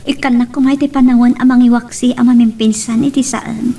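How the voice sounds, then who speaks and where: A woman's voice: on the high side, fairly even intonation, a little fast, mellow, slight background noise, close to an everyday voice. A woman with an Ilocano accent playing a heartbroken, sincere young woman in an amateur romantic audio drama. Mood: sorrowful, tender.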